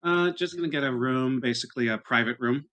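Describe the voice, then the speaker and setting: Bright-voiced man playing a tabletop game. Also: mid-range voice, brisk. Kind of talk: casual game chat.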